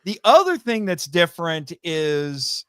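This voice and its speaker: A man with a voice a little higher than average speaks in a casual, enthusiastic interview style. He is chatty and explanatory, often doubling back to correct himself. The sound is dry, with very little room echo. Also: very animated, unhurried, a bit bright, clean tone. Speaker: podcast guest, voice actor.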